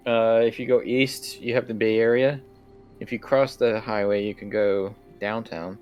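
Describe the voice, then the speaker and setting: A man game-mastering a tabletop role-playing game, narrating off the cuff in a halting, conversational way. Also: lowish voice, steady tone, a little mellow, smooth, muffled recording, faint music behind.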